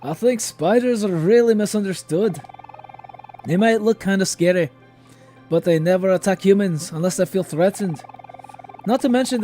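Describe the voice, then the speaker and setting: A man voicing a gentle, earnest young friend from a horror game, reading the dialogue in a reassuring way. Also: high-pitched, natural voice. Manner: soft-hearted, reassuring, reading dialogue aloud.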